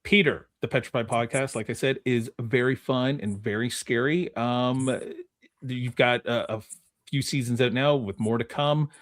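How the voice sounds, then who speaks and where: A man with a mid-pitched voice hosts a podcast. He talks informally and rambles along in loose, conversational sentences. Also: moderate pace. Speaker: podcast host. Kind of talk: casual chat hosting a film trivia podcast.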